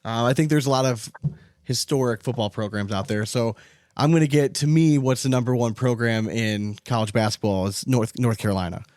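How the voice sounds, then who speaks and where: Man, podcast host, fast pace, casual sports chat, very dry sound. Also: mid-range voice, darkish tone, no room sound.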